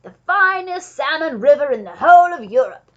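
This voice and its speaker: A woman voicing a grand, exuberant, generous male Duke in a storybook, with loud, emphatic, aristocratic delivery. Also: high voice, big pitch swings, moderate pace, lo-fi sound, voice pushed up, exaggerated voice. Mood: booming pride.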